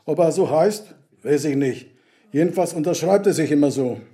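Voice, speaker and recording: A man's voice: a little high, animated, normal speed, mellow, close to an everyday voice. An actor playing a dryly mocking, gossiping man in a radio play.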